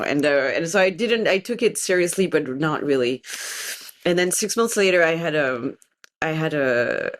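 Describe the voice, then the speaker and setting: Woman, French accent, native French speaker, slightly low voice, animated, restarts often, interview guest. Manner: lively, animated storytelling with frequent restarts. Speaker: guest, tarot reader. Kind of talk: casual interview talk.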